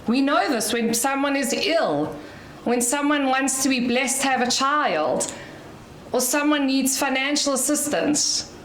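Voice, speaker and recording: A South African woman with a loud voice gives an inspirational talk to an audience as guest speaker, chatty with light laughs. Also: high-pitched, a little slow, echoey room, a little hiss or hum, low-quality recording. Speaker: guest speaker at a community dinner.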